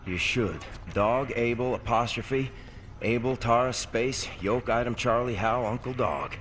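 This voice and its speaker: A man playing a gruff, guarded soldier in a video game, speaking in terse, clipped phrases. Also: medium pitch, a little slow, a bit bright, soft background music, close to an everyday voice. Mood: focused, wary.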